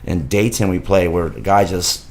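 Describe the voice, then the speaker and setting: Relaxed deep-voiced guy, rambling storyteller, laughs easily. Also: normal speed. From California. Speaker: guest, former soccer goalkeeper. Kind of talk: casual chat.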